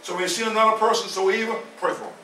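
A man's voice: sits high, steady tone, racing, sharp tone. A man of seventy preaching an informal sermon, repeating his points with emphasis in a conversational way. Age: 70s. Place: small church hall. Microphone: far camera. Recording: echoey room from a far camera.

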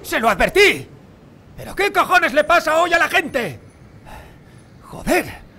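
A man's voice: very high voice, huge pitch swings, measured pace, pushed and bright, pitched above the performer's own voice, full character voice. A man voicing a bossy, swearing police officer with a Spain Spanish accent in a comic game dub. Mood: exasperated. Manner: barking, overdramatic.

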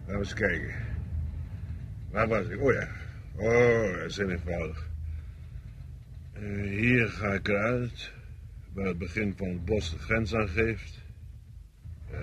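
An actor playing a nervous, insecure army lieutenant in a radio play, hesitant and stammering. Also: male voice, on the low side. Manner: hesitant, stammering, deferential to superiors. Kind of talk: Dutch radio play acting. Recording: noisy old radio play recording.

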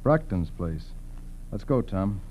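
A man's voice: deep voice, very animated, slow pace, darkish tone, full character voice. An American man playing a methodical, no-nonsense federal agent in 1940s radio style, speaking tersely. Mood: intent. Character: methodical, no-nonsense male FBI special agent. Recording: dull, faintly noisy old radio recording.

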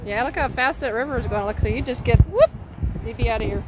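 Higher-voiced young mom, noisy phone recording. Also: female voice, lively intonation, quick pace. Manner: playful, encouraging, chatty with children. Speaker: mother filming a family vlog. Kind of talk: casual chat with young children. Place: on the move outdoors and in a busy mall. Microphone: handheld phone. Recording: noisy handheld recording, outdoors and in public. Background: loud outdoor and public ambience.

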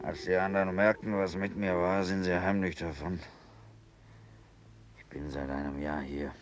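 An actor playing a proud, bitter leper dying alone, with a sardonic, halting delivery in a radio play. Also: male voice, sits low, fairly even intonation, a little hiss or hum. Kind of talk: radio drama acting. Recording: radio play with music underneath.

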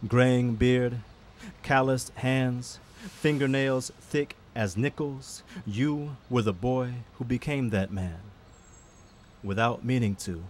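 A man reciting his poetry at an outdoor event in weighted phrases with dramatic pauses. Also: medium pitch. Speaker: poet. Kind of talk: poetry reading. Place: outdoor park reading event. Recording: live outdoor event with background noise.

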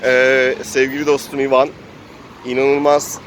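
A man's voice: mid-range voice, completely flat delivery, unhurried, fairly bright tone, slight background noise. A man casually improvising narration as he plays a role-playing game.

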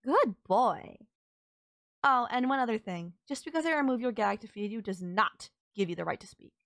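A woman's voice: on the high side, lively intonation, moderate pace, creaky, a bit bright, no echo, clean studio sound. A woman playing a sultry, possessive kidnapper who gloats over and coaxes a captive in an intimate audio roleplay. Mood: coaxing, controlling. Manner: teasing, coaxing, controlling.

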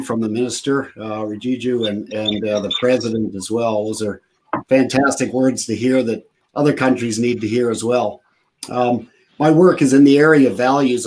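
A man's voice: mid-range voice, a little hiss or hum, poor audio. A man, speaking as a guest on a webinar over a video call, earnest and full of um and and. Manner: earnest, with frequent um and repeated and. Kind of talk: conversational webinar talk.

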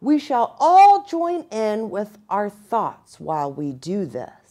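A woman voicing a stern old buffalo chief in a storybook, proclaiming gravely and deliberately. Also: medium pitch, huge pitch swings, mellow. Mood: solemn proclaiming.

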